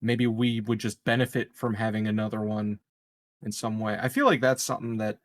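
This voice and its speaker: A man's voice: lowish voice, little pitch movement, fairly quick, no echo. A man playing a tabletop game, rambling in casual chat with lots of "like" and "you know" and some swearing. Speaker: player.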